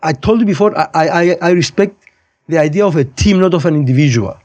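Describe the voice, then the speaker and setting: A man's voice: fairly high pitch, lively intonation, fast, a little mellow, dull audio. A man in his fifties with a Cypriot accent talking casually as an interview guest, opinionated and digressive, often repeating and rephrasing his points. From Cyprus. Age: 50s. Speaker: podcast guest, high school physics teacher.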